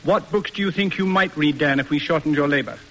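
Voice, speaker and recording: A man's voice: on the high side, fast, fairly bright tone, close to an everyday voice. An actor playing a stern, articulate middle-aged American judge and father, formal and dryly sarcastic, in an old-time radio drama. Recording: noisy vintage radio recording.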